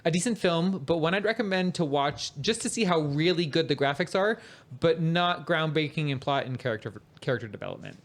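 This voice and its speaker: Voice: mid-range voice, lively intonation, fairly quick. Podcast panelist, casual, informal, conversational review chat.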